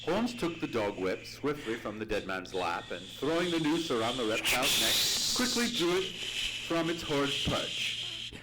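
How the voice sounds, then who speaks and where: A man's voice: mid-range voice, animated, moderate pace, very noisy, natural voice. A man playing an earnest, easily horrified doctor narrating an old-time radio mystery in formal, theatrical style. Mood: alarmed. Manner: theatrical, suspenseful, formal storytelling. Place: theatre stage, live radio play.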